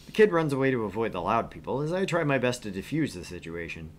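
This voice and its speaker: A man's voice: medium pitch, very animated, fast. A man co-hosting a gaming stream, reading a visual novel aloud with casual asides.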